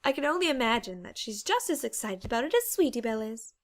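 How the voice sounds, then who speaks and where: A woman's voice: on the high side, sing-song, quick pace. A woman voicing a refined, caring, insistent female pony with a genteel, theatrical manner in an audiobook. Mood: politely advising. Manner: genteel, polite, theatrical phrasing. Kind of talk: dramatized audiobook character acting.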